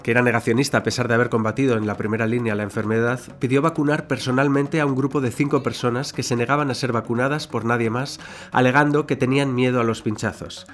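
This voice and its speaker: Spanish guy from reading news items real quick, faint music behind him. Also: medium pitch, fairly even intonation. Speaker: radio presenter. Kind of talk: scripted news-style narration. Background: music bed.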